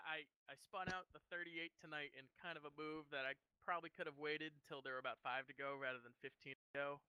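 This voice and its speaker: A man talking as a race driver in a post-race interview, halting and casual with many fillers. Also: on the high side, sharp tone, smooth, no echo, poor audio.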